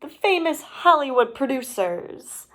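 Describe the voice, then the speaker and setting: A woman's voice: sits high, big pitch swings, unhurried, a bit bright, voice pushed up, exaggerated voice. A woman voicing a bold, crafty female turkey schemer in a children's storybook. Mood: flattering, scheming.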